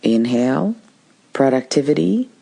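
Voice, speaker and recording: Husky-voiced woman hosting a podcast. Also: very deep voice, sing-song, measured pace, dark tone. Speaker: podcast host. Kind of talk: solo podcast talk with practical advice.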